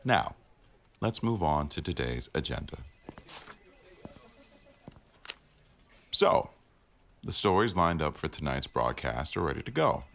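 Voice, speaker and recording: An actor playing an exacting, sardonic executive news producer with a dry, authoritative delivery in a scripted audio roleplay addressed to the staff. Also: male voice, extremely low pitch, big pitch swings, moderate pace, creaky, a bit bright, heavily acted. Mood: stern, businesslike.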